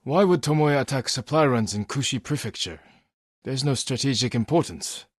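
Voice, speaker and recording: An actor playing a stoic, honorable samurai warrior in a video game, speaking in a measured, earnest and restrained way. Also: male voice, medium pitch, lively intonation, no room sound, clean studio sound, natural voice. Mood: firm, pressing. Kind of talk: scripted video game voice acting.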